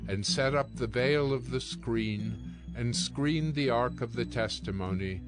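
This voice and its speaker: A man narrating scripture from a script in a measured, solemn reading manner. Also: medium pitch. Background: music.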